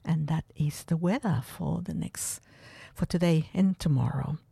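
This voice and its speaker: A woman hosting a community radio show, speaking in a relaxed, unhurried way with occasional fillers. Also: low voice, creaky, husky, no room sound. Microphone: studio microphone.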